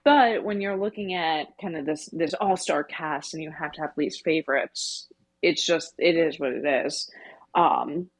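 A woman's voice: low voice, a little slow, poor audio. A woman co-hosting a podcast, discussing stories in an informal, reflective way. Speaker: podcast co-host. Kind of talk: casual book discussion.

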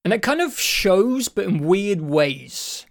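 Croaky guy rambling about games, kinda high voice, swears a bit. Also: big pitch swings, slow pace. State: croaky, throat won't clear. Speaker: podcast co-host. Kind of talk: casual chat.

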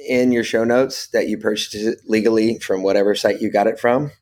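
A middle-aged man talking casually as a podcast guest, animated and sweary as he tells stories. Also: on the low side, a little fast.

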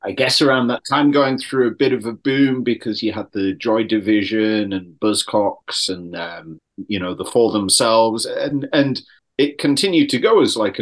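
A man in a casual podcast discussion, talking tentatively and often saying "like" and "you know". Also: lowish voice. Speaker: podcast panelist. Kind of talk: casual discussion of song lyrics.

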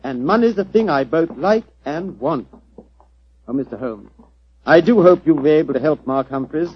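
A man playing a courteous but money-minded theatre manager in an old radio drama, with a slightly husky, frank delivery. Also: a little high, animated, normal speed. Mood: frank, uneasy. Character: polite, mercenary theatre business manager. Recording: dull vintage radio recording.

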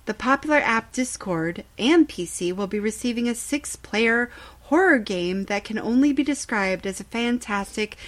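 A woman hosting a podcast segment, reading a scripted news report. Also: mid-range voice.

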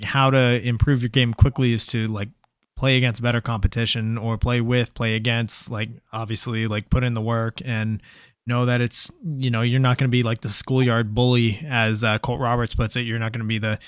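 A man hosting a podcast, reading out listener questions in a relaxed, chatty way. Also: medium pitch, moderate pace, clear, resonant tone, no echo, studio quality. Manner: relaxed, reads out listener questions, many fillers. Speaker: podcast host. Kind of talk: casual interview chat.

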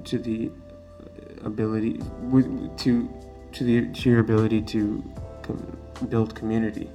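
Earnest teenage podcaster, gravelly low voice. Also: male voice, darkish tone. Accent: American-sounding, not Singaporean. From Singapore. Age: late teens. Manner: earnest, emphatic, repeats key phrases. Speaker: student podcast host. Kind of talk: solo podcast monologue.

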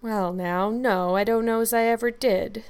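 A woman's voice: a little high, steady tone, moderate pace, a little mellow, clean tone, lightly acted. A woman voicing a shy, mild older farm man who speaks in a hesitant, folksy way in an audiobook. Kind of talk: audiobook character dialogue.

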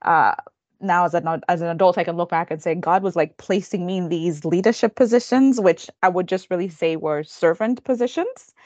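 Low-voiced woman, thoughtful. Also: darkish tone, dry recording, lo-fi sound. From Kenya. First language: Kikamba. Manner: thoughtful, earnest, halting restarts. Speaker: guest counselor educator. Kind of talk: reflective interview storytelling.

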